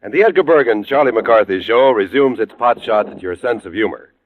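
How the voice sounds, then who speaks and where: A man's voice: fairly low pitch, expressive, fairly quick. A man announcing in mid-century network radio style, formal, polished and projected, reading a script. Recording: muffled, band-limited old radio recording.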